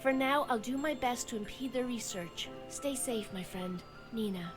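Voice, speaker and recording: An actress plays a concerned female scientist in an animated adventure game. She reads her letter in a measured, earnest way, her voice on the higher side, with music clearly behind it. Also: natural voice.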